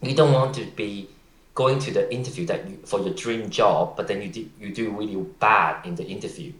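Low-voiced man in an echoey room. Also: brisk. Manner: direct, advice-giving, loose grammar. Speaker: podcast guest. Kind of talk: casual conversation.

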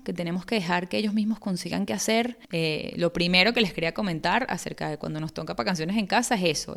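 A woman's voice: lowish voice, gravelly. A woman in her thirties from Venezuela, with a Venezuelan accent, hosting a casual solo podcast and chatting through her thoughts with small restarts. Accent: Venezuelan Spanish. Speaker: podcast host.

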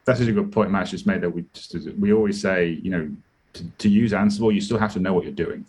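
A British man with a deep, low voice talks through his ideas in a relaxed interview, often restarting a phrase as he thinks. His tone is slightly husky rather than polished. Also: fast talker. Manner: explanatory, thinks aloud with restarts. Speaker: guest, product director. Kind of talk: conversational interview.

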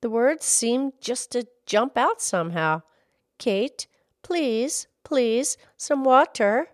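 A woman's voice: on the high side, lively intonation, slow, no echo, studio quality, pitched above the performer's own voice. A woman voicing a polite boy of about ten, asking for things earnestly.

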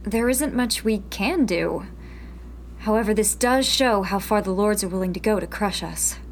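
A woman's voice: medium pitch, moderate pace, a little hiss or hum, close to an everyday voice. A woman playing a guarded, pragmatic rebel in a sci-fi radio drama, curt, wary and matter-of-fact. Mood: wry, grim. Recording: faint music under the voice.

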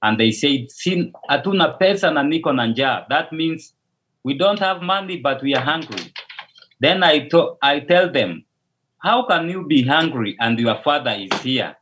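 A man with a Kenyan accent, a pastor, speaking slowly and carefully on a video call, with hesitations and restarts. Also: on the high side, animated, fairly bright tone, dull audio, slight background noise. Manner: slow, measured, with hesitations and restarts. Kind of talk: casual video call interview.

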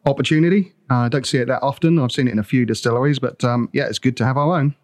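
A man's voice: medium pitch, racing, no room sound. A man co-hosting a podcast, chatting in an easygoing way with many ums. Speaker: podcast co-host. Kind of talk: casual chat. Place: warehouse with a bottling line.

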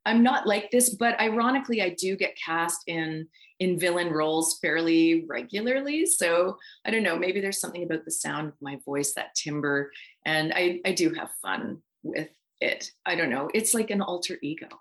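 Lowish-voiced woman chatting. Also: moderate pace. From Ontario, Canada. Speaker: guest, voice actress. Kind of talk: casual interview chat.

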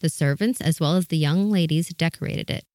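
Low-voiced woman narrating a fairy tale. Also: a little fast, darkish tone, dry recording, clean studio sound. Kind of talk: scripted storybook narration.

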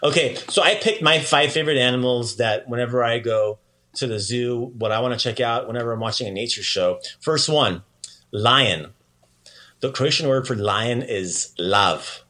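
A man's voice: mid-range voice, normal speed, sharp tone. Encouraging man hosting a casual language lesson. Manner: encouraging, praising the learner often. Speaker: podcast host teaching language lesson.

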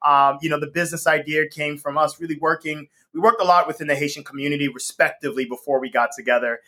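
An energetic man speaking as a guest on an interview show, with a somewhat high, bright and forceful voice. He talks in a loose, upbeat way, laughing often and filling pauses with ums. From Northeast US. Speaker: guest, company co-founder. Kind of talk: casual interview chat.

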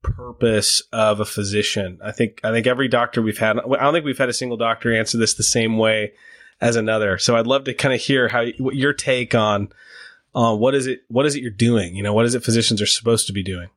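A man hosting a podcast interview, halting and restarting his sentences as he asks questions. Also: medium pitch, steady tone, a bit bright, no echo. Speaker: podcast host.

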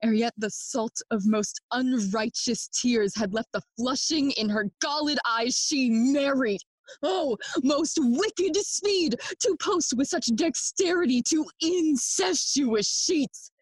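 Brooding young prince, high and slow, theatrical Shakespearean delivery, bitter with grief. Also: female voice, dry recording. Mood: anguished, bitter. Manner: theatrical, impassioned, switching between English and Spanish. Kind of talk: bilingual Shakespearean audio play acting.

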